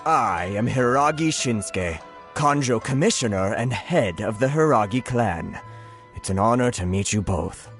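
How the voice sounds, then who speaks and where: A man playing a genial middle-aged government commissioner in a video game, speaking with courteous, grandiose flattery. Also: mid-range voice, a little slow. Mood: gracious. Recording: faint game music behind the voice.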